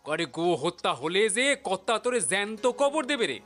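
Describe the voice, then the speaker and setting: Casting: imperious Bengali village priest, high swooping voice, sarcastic bluster, audio drama with music. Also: male voice, very animated, a bit bright. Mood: angry scolding. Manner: blustering, sarcastic, drawn-out calls and exclamations. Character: haughty, scolding Brahmin priest, bullying and mocking villager. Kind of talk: audio story drama acting.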